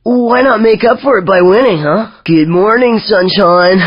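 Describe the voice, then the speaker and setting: A spacey sixties hippie drawls through video game quips in a mellow, dazed way. He sounds like a peace-loving slacker. The audio is dry, close and a bit dull. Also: sits high, a little slow, slightly rough, no echo.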